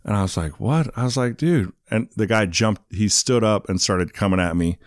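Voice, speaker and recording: A man with a very deep voice tells his story in a loose, rambling way, padding his sentences with fillers and asides. The sound is dry and close, with no room around it. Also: normal speed, no echo. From England. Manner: rambling, many fillers, "you know what I mean". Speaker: guest. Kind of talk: casual storytelling interview.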